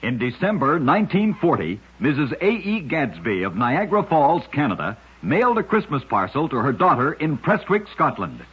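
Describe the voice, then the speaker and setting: A man hosting an old-time radio program, narrating short scripted oddities in a husky, measured, dramatic announcer's delivery. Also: on the high side, very animated. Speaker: radio host and storyteller. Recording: muffled, dull old radio recording.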